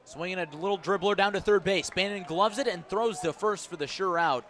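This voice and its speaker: A man's voice: high voice, a little fast, some chatter behind, slight background noise. A man doing live baseball play-by-play on radio in clipped, descriptive calls that lift when the action picks up. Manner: clipped descriptive calls, rising to excitement on plays. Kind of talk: live sports commentary. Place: outdoor ballpark broadcast position.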